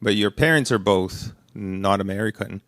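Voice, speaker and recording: Polite low-voiced man from Brazil. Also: a bit bright, no echo. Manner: polite, hesitant, halting. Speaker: audience member asking a question. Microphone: handheld microphone.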